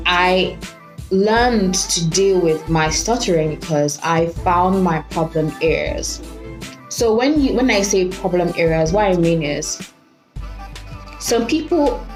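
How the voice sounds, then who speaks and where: A woman talking casually to camera, giving tips on speaking, who stutters on some sounds and laughs at herself. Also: lowish voice. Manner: chatty, repeats "you guys", laughs at herself. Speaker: vlogger teaching speaking tips.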